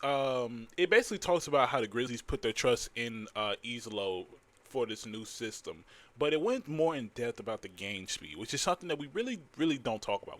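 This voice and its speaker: Slightly hoarse young man, casual sports talk. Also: on the low side, a bit bright. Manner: loose, rambling, with many fillers and restarts. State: losing his voice, slightly hoarse. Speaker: host. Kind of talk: casual sports news commentary.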